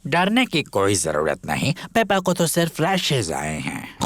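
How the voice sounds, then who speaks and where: A man's voice: mid-range voice, huge pitch swings, unhurried, a bit bright, no room sound. A man voicing a jovial, reassuring bear doctor in a children's cartoon dub.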